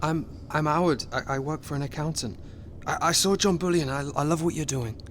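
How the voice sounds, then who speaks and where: An actor playing an earnest seventeen-year-old theatre newcomer in a radio drama. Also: male voice, a little high, brisk, fairly bright tone, a little hiss or hum, natural voice. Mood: nervous. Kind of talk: radio drama acting and narration.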